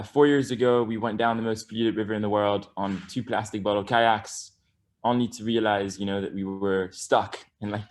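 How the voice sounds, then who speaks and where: Eager young man, lots of ums. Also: lowish voice. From Bali, Indonesia. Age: 20s. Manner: eager, many ums and restarts. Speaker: guest environmentalist. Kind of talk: casual interview talk.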